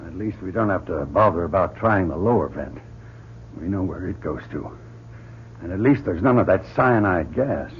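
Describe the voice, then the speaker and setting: Steady spaceship leader: earnest, clipped, lively inflection, dull and faintly noisy vintage radio sound. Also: male voice, sits low, normal speed. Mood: grave resolve. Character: steady, protective male astronaut commander, a husband. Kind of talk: old-time radio drama acting. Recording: muffled, noisy old radio recording.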